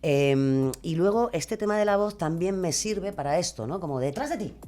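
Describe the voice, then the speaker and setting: Spanish woman from spain on a podcast, casual and expressive, explaining how she does her narrating. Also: fairly low pitch, moderate pace. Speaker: podcast guest, true crime narrator and creator. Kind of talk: casual conversational explanation of craft.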